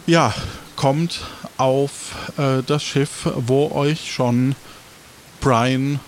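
A man's voice: medium pitch, fairly even intonation, very slow, slight background noise. A man hosting and narrating an improvised audio adventure as game master, hesitantly searching for his words. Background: music.

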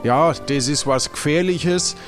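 A man's voice: on the high side, a little slow, faint music behind, close to an everyday voice. A man playing a teasing, goading pirate companion in an improvised audio adventure play.

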